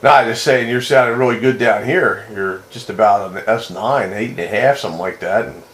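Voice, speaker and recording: A man's voice: fairly low pitch, brisk, a bit bright. A man chatting casually and approvingly with fellow radio hobbyists as the host of a CB roundup, in an easygoing manner. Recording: roomy echo, faint background noise.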